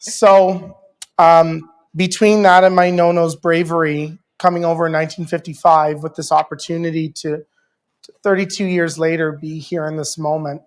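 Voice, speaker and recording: A panel moderator from Canada, speaking in an earnest, affirming way to the audience and to viewers at home. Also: mid-range voice. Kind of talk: heartfelt panel talk.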